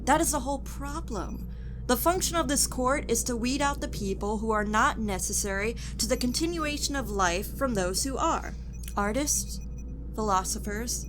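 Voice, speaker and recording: A woman playing a cold, implacable judge in a sci-fi audio drama, speaking in a formal, measured, officious way. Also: a little high, background noise, close to an everyday voice. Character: cold, implacable female judge of a future technocracy.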